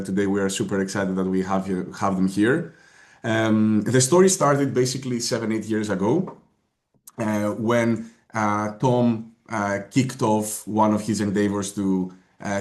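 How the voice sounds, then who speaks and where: A man's voice: on the low side, darkish tone. A man from Greece with a Greek accent, presenting in a webinar over a video call, talking hesitantly with many filler sounds and restarts. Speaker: guest presenter.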